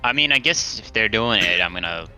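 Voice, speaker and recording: Male gamer, deep but very bright, forceful voice, casual table chat, quiet music underneath. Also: animated, a little fast. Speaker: roleplaying game player.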